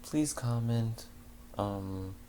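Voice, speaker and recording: A man reading a fanfiction story aloud in a halting way, restarting words, then chatting casually to listeners. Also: fairly low pitch, warm tone, a little hiss or hum. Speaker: fanfiction reader.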